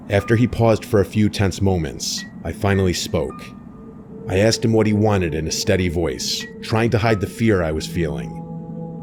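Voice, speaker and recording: Low-voiced man narrating a scary story. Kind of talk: scripted first-person scary story narration. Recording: music bed under the voice.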